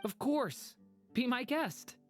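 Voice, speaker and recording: A woman playing a gentle, earnest, good-natured dragon boy in a game, speaking politely. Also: a little high, animated, slow, dry recording. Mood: kind, polite. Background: music.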